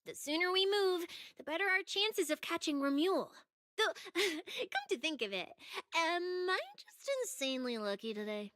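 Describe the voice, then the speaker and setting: A woman playing a brash, boastful young public security officer in a video game, cocky, excitable and chatty. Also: very high-pitched, normal speed, no echo, clean studio sound. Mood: excited, boastful.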